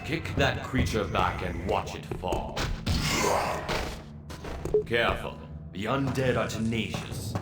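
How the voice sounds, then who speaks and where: A man playing an older, watchful wizard mentor in a fantasy game, giving measured, authoritative instructions. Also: lowish voice, echoey room. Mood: watchful, instructive. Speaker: mentor guiding the player. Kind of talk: scripted fantasy game dialogue. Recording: loud game music over the voice.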